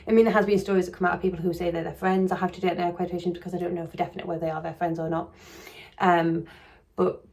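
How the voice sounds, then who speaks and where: Croaky, low woman vlogging. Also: darkish tone. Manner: rambling and hedging, with frequent restarts. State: croaky voice coming on. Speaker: true crime YouTuber. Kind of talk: casual commentary vlog.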